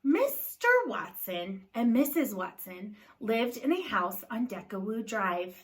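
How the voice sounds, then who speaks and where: A woman reading a picture book aloud to children in a deliberate storytelling style, pausing to put questions to her young listeners. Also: medium pitch, big pitch swings.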